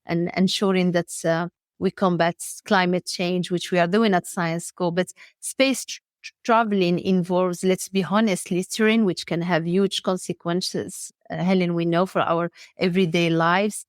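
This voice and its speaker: A woman's voice: fairly low pitch, steady tone, moderate pace, dry recording, studio quality. A woman from Morocco hosting a podcast, interviewing a guest and affirming her answers with encouragement. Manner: warm, encouraging, frequent affirmations. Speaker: podcast host.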